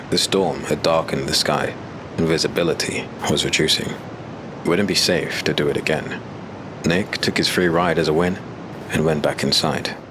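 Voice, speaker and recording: Deep-voiced male audiobook narrator. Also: background noise.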